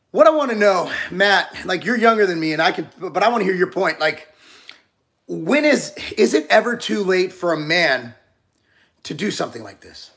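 A man's voice: fairly high pitch, creaky, fairly bright tone. A man in his forties co-hosting a casual talk show, rambling with frequent restarts. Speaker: podcast co-host. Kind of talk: casual chat.

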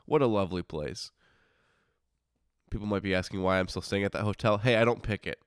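A man's voice: on the low side, a little fast, smooth, no room sound, studio quality. A man with a stuffy, congested nose, hosting a casual solo podcast in an informal, grumbling, self-interrupting way. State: congested sinuses. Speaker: podcast host.

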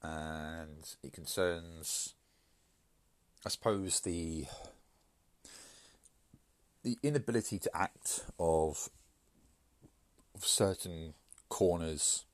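Very deep-voiced stuffy podcaster. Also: male voice, no echo. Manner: measured, hesitant with fillers and restarts. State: congested from hay fever. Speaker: podcast host. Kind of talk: solo podcast commentary.

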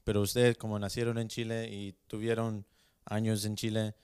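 A man's voice: on the low side, level tone, normal speed, a little mellow, clear, resonant tone, dry recording, studio quality. A young man hosting a casual bilingual interview, switching between Spanish and English mid-sentence. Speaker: host interviewing his parents.

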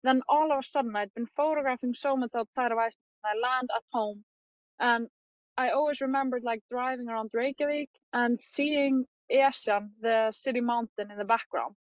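A young woman photographer chatting in an interview, talking quickly with many fillers and frequent laughs. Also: a little high, no echo, highs cut off. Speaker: photographer guest. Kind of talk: casual interview chat.

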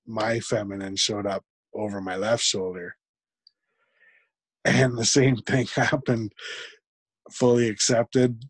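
A man with a fairly deep voice talks as an interview guest. He speaks reflectively and haltingly, often restarting his sentences. His voice is recorded close and dry, with little room sound. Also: level tone, breathy edge, no room sound. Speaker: podcast guest. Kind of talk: conversational interview.

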